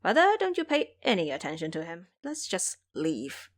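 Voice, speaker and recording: A woman's voice: lowish voice, huge pitch swings, moderate pace, voice pushed down. A woman voicing a confident male monkey disciple in an audio storybook, wry, breezy and amused. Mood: dismissive.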